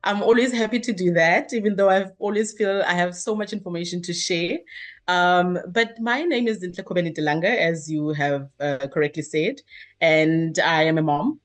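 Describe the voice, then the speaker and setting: Low-voiced woman in her thirties. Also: moderate pace. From South Africa. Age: 30s. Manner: earnest, expansive, many fillers and restarts. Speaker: guest, activist. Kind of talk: interview answers.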